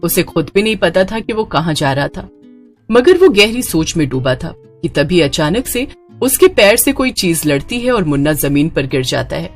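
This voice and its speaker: A woman's voice: on the low side, sing-song, moderate pace, soft background music. A woman narrating a scripted dramatic story as the storyteller.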